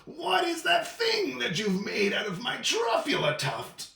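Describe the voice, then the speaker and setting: Sharp, high, bossy old forest creature. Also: male voice, wildly swooping pitch, a little slow, vocal fry, recorded from a distance, heavily acted. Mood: indignant, upset. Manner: bossy, shouting in protest. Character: bossy, indignant little old mossy tree guardian creature. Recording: roomy echo.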